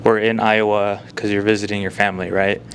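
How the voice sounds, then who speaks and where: A man's voice: fairly low pitch, little pitch movement, fairly quick, a bit bright, slight background noise. A man hosting a podcast, asking easygoing questions in loose, casual phrasing. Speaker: podcast host and interviewer. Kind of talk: casual interview, intro narration.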